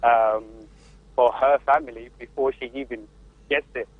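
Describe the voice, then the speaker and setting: A man's voice: mid-range voice, steady tone, moderate pace, no room sound. A man with a Zimbabwean accent calls in to a radio panel, hesitating and restarting often. His voice comes over a thin, phone-like line with no room sound. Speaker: panelist, father. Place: remote call from the UK.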